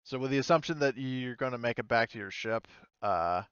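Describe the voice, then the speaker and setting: A man's voice: mid-range voice, lively intonation, brisk, fairly bright tone, smooth, no echo, lo-fi sound. A man talking casually as game master of a tabletop game, easygoing and prone to swearing.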